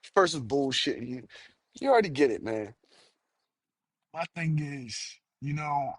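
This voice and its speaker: A man's voice: a little high, fairly bright tone, dry recording. A man hosting his own podcast, ranting heatedly and profanely, with frequent stumbles and repeated words. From United States. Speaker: podcast host. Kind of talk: solo podcast rant.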